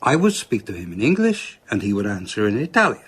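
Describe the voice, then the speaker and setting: Formal doctor, lively husky voice. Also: male voice, lowish voice, brisk, heavily acted. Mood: solemn recounting. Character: earnest foreign male doctor, proud of his reputation. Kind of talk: radio drama acting.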